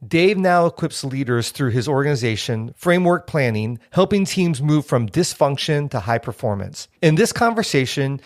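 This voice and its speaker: Podcast host guy, smooth scripted intro voice, friendly, dry close mic. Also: medium pitch, lively intonation, moderate pace, hardly any pauses, dry recording. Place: office.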